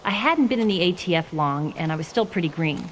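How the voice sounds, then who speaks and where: A woman's voice: fairly low pitch, lively intonation, brisk, close to an everyday voice. A woman playing a self-assured young former federal agent giving testimony in a video game cutscene. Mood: measured, wry. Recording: noisy, reverberant hall, game ambience.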